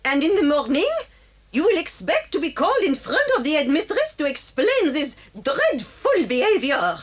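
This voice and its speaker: A woman playing an excitable, indignant schoolmistress with a French accent and theatrical, exclamatory delivery in an audio drama. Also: high-pitched, moderate pace.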